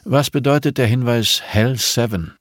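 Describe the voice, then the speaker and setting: A man's voice: mid-range voice, normal speed, no room sound, studio quality, voice pushed up, natural voice. A man voicing a firm, defiant female police investigator in an audiobook, pointed and confrontational. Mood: insistent.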